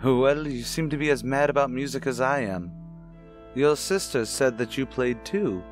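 A man's voice: mid-range voice, moderate pace, faint music behind, lightly acted. A man playing a courtly, cultured rich widower, formal and suave, in an old-time radio drama. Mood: intimate, admiring.

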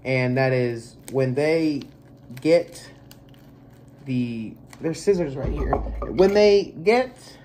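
A man's voice: mid-range voice, animated, extremely slow, warm tone, slight background noise, rough recording. A young man in his twenties, stuffy from allergies, rambling casually through a movie unboxing in a small bedroom, recorded on a close webcam mic. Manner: rambling, filler-heavy, easygoing. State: congested from allergies. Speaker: movie collector vlogger.

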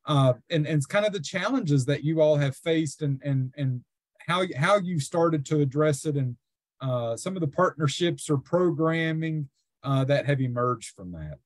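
A man moderating a webinar over a video call, halting and repeating words. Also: fairly high pitch, no room sound. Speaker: webinar host.

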